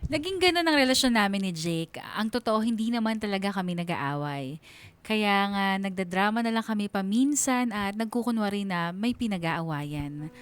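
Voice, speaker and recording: A woman's voice: medium pitch, expressive, normal speed, background noise, natural voice. An actress playing a giddy, lovestruck young woman narrating her love story in a chatty, gushing way with a Filipino accent. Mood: happy, grateful. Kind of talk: dramatized letter narration. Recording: music bed under voice.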